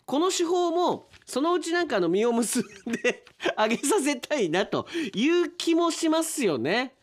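A man's voice: extremely high pitch, big pitch swings, moderate pace. A man hosting a casual radio show, chatting through listener mail with appreciative comments and asides. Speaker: radio host and announcer.

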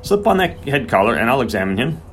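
A man's voice: medium pitch, sing-song, fast, a bit bright. A male narrator speaks as an earnest country vet. He is courteous and firm, with some unease under it. The storybook recording carries a little background noise. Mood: polite, firm.

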